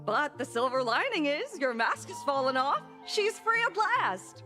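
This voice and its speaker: Smug theatrical quack doctor, highish voice. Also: female voice, big pitch swings, a little slow, pushed and bright, soft background music, full character voice. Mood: pointed taunting. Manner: pronouncing, theatrical. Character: smugly theatrical female quack doctor, coolly taunting. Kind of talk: video game voice acting.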